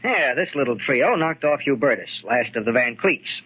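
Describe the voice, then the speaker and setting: A man's voice: medium pitch, animated, moderate pace, breathy edge. A young man playing a newspaper photographer in a 1940s radio drama, talking in a breezy, wisecracking way. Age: young adult. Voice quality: wisecracking, breezy tough-guy talk. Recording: muffled 1940s radio recording.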